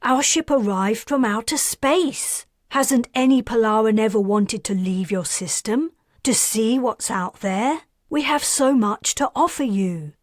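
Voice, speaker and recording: A woman's voice: fairly high pitch, unhurried, dry recording, clean studio sound, voice pushed up. Woman voicing a furious young starship bird keeper. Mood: exasperated. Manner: shouting, raging.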